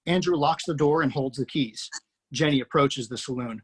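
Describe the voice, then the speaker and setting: A man reading screenplay action lines aloud in a steady, matter-of-fact way. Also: medium pitch, normal speed, a little hiss or hum. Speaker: screenplay reader.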